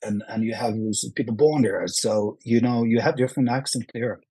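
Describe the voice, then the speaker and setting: A man with a Norwegian accent, speaking English as a second language, answering interview questions in a halting way full of restarts and fillers. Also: medium pitch, dark tone, slightly rough, no echo, rough recording. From Norway. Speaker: actor, guest. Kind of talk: casual interview.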